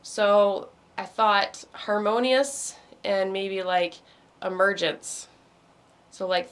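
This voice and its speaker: A woman talks through the week's astrology in a loose, wandering way, pausing on ums and circling back to restart her sentences. Her voice is a little hoarse, as if it is starting to give out. She sounds like she is speaking into a computer on a video call. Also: medium pitch. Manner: meandering, many ums and restarts. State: losing her voice. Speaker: meditation host. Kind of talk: casual astrology talk.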